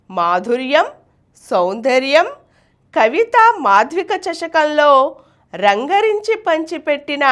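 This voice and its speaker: A woman with a high voice presents and recites verse from a script. Her intonation moves widely and she takes her time, with a declamatory, measured delivery. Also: a bit bright. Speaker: host and poetry reader. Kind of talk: expressive scripted poetry recitation and commentary.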